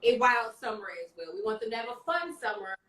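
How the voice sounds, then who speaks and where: A woman's voice: fairly high pitch, lively intonation, quick pace, echoey room, recorded from a distance, a crowd in the background. A woman hosting a podcast in casual chat, playful and a little tipsy from wine, slightly slurring. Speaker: podcast host.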